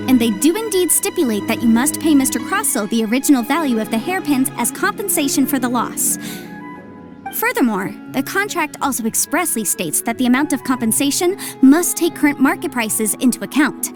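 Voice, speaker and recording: A confident young legal advisor in an anime game, with a high voice. The delivery is precise and courteously formal, over faint music. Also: female voice, lively intonation, normal speed. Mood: analytical.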